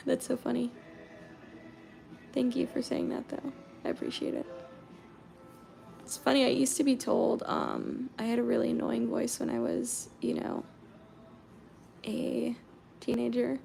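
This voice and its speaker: A young American woman from Georgia chatting casually with viewers on a painting livestream, reading their comments aloud and murmuring softly while she paints. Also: a little high, vocal fry, a little hiss or hum, low-quality recording. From Georgia, USA.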